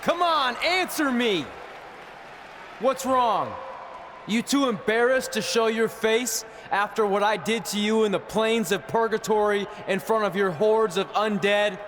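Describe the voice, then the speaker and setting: A brash young wrestler in a game cutscene. He boasts and goads in a high voice that rings around a large hall. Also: measured pace, fairly bright tone, a faint crowd, slight background noise. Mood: goading. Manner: boastful, goading, declamatory. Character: brash, taunting young male wrestling challenger.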